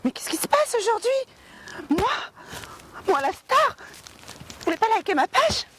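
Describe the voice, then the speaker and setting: A woman's voice: extremely high pitch, sing-song, unhurried, a bit bright, a little hiss or hum, voice pushed up, heavily acted. A woman playing a self-styled star who whines that nobody likes her page. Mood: indignant. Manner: whiny and indignant.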